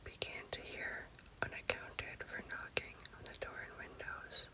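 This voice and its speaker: A woman narrates a scary story as ASMR in an unvoiced, breathy whisper, in deliberate short phrases. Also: low-quality recording. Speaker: storyteller, host.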